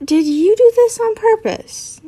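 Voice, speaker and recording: An American woman voicing a haughty, panicky teenage wizard boy in a story, shrill and sweary. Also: expressive, measured pace. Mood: panicked.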